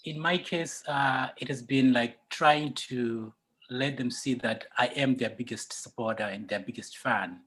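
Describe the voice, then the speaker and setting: A man with a husky voice speaks as a parent and working artist on a panel. He talks in a measured, supportive way. His pitch sits in an ordinary middle range. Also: a bit bright. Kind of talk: panel discussion.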